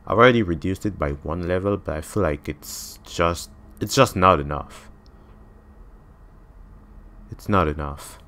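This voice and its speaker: A man's voice: deep voice, a little slow, pauses often, fairly bright tone. A man in his twenties, a native French speaker with a French accent, giving casual, blunt gameplay commentary and swearing freely. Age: 20s. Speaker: gamer.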